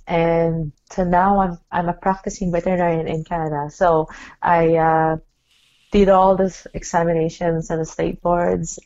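A woman answers interview questions in a friendly way, often pausing with "um". Her voice sits somewhat low for a woman. The remote recording sounds dull and lo-fi. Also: darkish tone. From Philippines. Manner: friendly, with frequent ums and light laughs. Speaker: guest, veterinarian.

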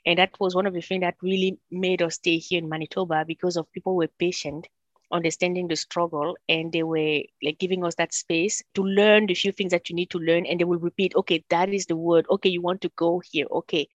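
A woman with a Cameroonian French accent speaks in a fairly low voice, recorded close and dry with no room sound. She tells her story as an interview guest, lively and animated when she quotes other people. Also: fairly quick, no echo, highs cut off. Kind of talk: interview conversation.

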